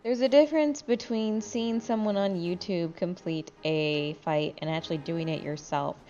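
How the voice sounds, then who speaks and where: Tired, low-voiced woman gamer, slightly muffled. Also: normal speed, dark tone. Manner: rambling, informal, sweary. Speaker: gaming video host and player. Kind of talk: casual gaming chat with friends. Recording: dull lo-fi voice chat, faint music.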